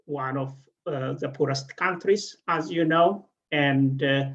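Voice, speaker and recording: A middle-aged man with an Ethiopian accent, a guest speaker at an online event, telling his personal story haltingly with frequent pauses. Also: a little high, a bit bright, muffled recording. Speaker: regional director, guest speaker.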